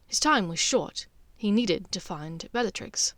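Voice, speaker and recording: A woman's voice: mid-range voice, animated, a little slow, no room sound. A woman reading scripted story narration as an audiobook narrator.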